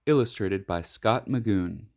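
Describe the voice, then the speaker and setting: A man reading a children's picture book aloud expressively, leaning on key words with deliberate, dramatic emphasis. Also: fairly low pitch, vocal fry, a little mellow. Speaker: storybook reader.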